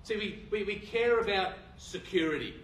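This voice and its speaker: A man's voice: high voice, normal speed, slight background noise. An Australian man preaching a sermon as pastor, earnest, asking rhetorical questions and restarting his phrases. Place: church hall. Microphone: handheld mic. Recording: roomy hall from a distance.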